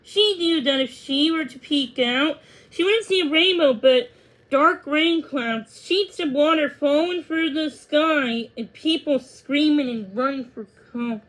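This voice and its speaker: High-voiced woman reading a children's story. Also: a little slow, fairly bright tone, low-quality recording. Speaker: storybook reader. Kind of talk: expressive children's read-aloud narration.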